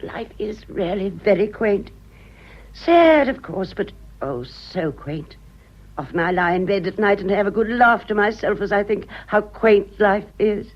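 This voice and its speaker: A woman's voice: mid-range voice, expressive, a little slow. An actress playing a vain, gushing, aging society mother with an upper-class English accent in a radio comedy. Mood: airy, amused. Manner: effusive, drawling, girlishly theatrical. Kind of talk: stage comedy radio acting. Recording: dull, muffled old radio drama.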